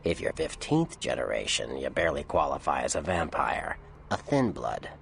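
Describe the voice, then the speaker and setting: Game vampire informant, worldly and sardonic, extremely low crackling voice, dry slangy explainer. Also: male voice, huge pitch swings, moderate pace, heavily acted. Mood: matter-of-fact. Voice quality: gravelly. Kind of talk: video game character dialogue.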